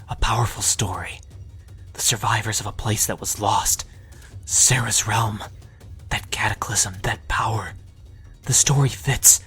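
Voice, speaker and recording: A man playing a young mind mage in a fantasy audio drama, halting and hushed, urgent and persuasive. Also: on the low side, breathy edge, full character voice. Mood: desperate pleading.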